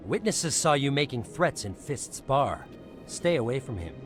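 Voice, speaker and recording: A commanding security officer from a video game. His stern delivery moves widely in pitch. Faint music plays behind him. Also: sing-song, a little slow. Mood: stern warning. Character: stern male security officer giving orders.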